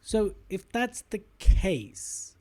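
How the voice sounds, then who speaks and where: A man's voice: high voice, very animated, drawn-out words, warm tone. A man hosting a podcast interview, asking probing questions and summarizing what his guest says. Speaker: podcast host.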